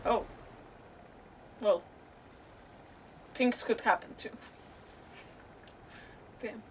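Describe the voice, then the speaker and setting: A woman's voice: on the high side, very slow, a little hiss or hum. A woman streamer giving casual, rambling, mildly sweary live commentary as she reacts to a game. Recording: distant mic, faint game music. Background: faint game music.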